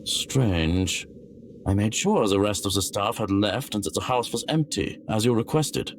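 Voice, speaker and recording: An actor playing a composed, dry-witted head servant in a video game, speaking formally. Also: male voice, lowish voice, expressive, measured pace, a little hiss or hum. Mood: dry, concerned.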